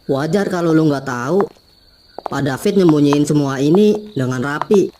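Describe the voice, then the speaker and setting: A man's voice: fairly high pitch, moderate pace, noisy background, natural voice. A man voicing a curious, self-assured young male student in a cartoon, with casual slangy talk. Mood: explaining. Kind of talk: cartoon voice acting.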